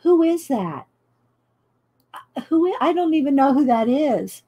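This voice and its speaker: A woman, chatting loudly while she paints and tells stories on her coloring livestream, laughing often and answering viewers. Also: sits high, lively intonation, measured pace, muffled recording. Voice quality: loud voice. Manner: chatty storyteller, laughs often, reads live chat. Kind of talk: casual chat while painting. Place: home craft table, indoors.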